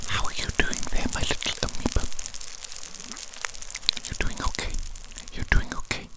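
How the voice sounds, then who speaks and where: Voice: moderate pace, close to an everyday voice. An ASMR performer voicing an eccentric, doting evil doctor in a medical roleplay, whispering with formal, old-fashioned wording and repeated phrases. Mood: doting, fussy.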